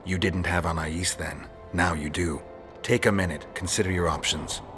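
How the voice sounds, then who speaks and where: A male actor playing a calm, cynical, world-weary monster hunter in a video game, talking in a measured, deadpan, wry way. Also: low-pitched, faint music behind. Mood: cynical, probing. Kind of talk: dramatic video game acting.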